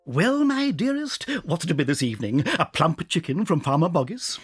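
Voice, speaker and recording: An actor playing a suave, confident and affectionate fox husband, husky and playful, in a dramatized children's story. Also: male voice, a little high, sing-song, moderate pace, dry recording, faint music behind. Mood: playful, doting. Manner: playful, gallant. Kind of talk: dramatized children's audiobook acting.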